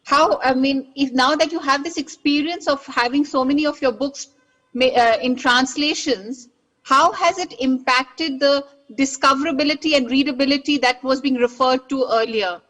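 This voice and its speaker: A woman with a high voice moderates a literary panel. She asks polite, long-winded questions and rewords them several times before she lets go. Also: normal speed, fairly bright tone, rough recording.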